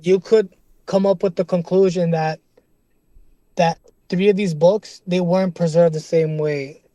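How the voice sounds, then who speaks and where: A man's voice: sits high, steady tone, a little slow, dark tone, no echo. A young man in a casual debate, explaining earnestly, often restarting his sentences and checking in with "right?" and "bro.". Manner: earnest, repeats and restarts, keeps asking "right?"; casual slang, calls listener "bro".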